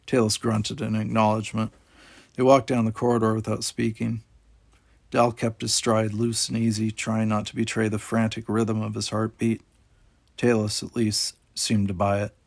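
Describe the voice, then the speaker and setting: A man's voice: on the low side, completely flat delivery, moderate pace, vocal fry, no room sound. A man reading a children's sci-fi story aloud as scripted audiobook narration. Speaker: audiobook reader.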